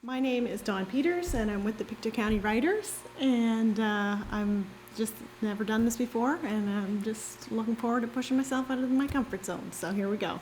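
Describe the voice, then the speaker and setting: A woman's voice: a little high, moderate pace, few pauses, a little mellow, a little hiss or hum, low-quality recording. A woman, an amateur writer reading and introducing her own work aloud to a group.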